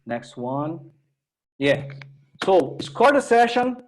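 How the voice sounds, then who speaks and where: A Brazilian man with a somewhat high-pitched voice presents a tech workshop online. His English carries a Brazilian accent from his native Portuguese. He talks informally and haltingly, repeating words and checking in with "right?" as he goes. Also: sing-song. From Minas Gerais, Brazil. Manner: halting, repetitive, with "right?" tags. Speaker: technical presenter and workshop instructor.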